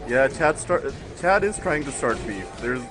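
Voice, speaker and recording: Young guy rambling to stream chat, lots of uhs and laughs, game music going loud behind. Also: mid-range voice, big pitch swings, unhurried. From United States. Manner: rambling, laughs often, many fillers. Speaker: guest commentator on a fighting game stream.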